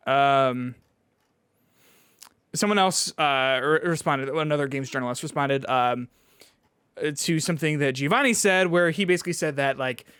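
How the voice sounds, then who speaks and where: A man co-hosting a podcast, chatting casually about video games in a halting way, often restarting his thoughts. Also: medium pitch, a bit bright, clear, resonant tone, dry recording, clean studio sound. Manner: halting, filler-heavy, starts and restarts thoughts. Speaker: podcast co-host and games reviewer. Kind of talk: casual video game chat.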